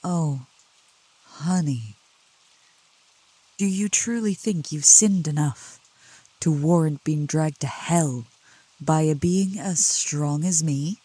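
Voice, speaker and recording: A woman playing a seductive, protective demon in a soft ASMR roleplay, speaking slowly and coaxingly in a low, gentle voice. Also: breathy edge, no room sound, heavily acted, poor audio. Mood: tender, coaxing. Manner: slow, deliberate, coaxing, with pet names. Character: seductive, protective female demon, soothing yet scornful of cultists. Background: rain.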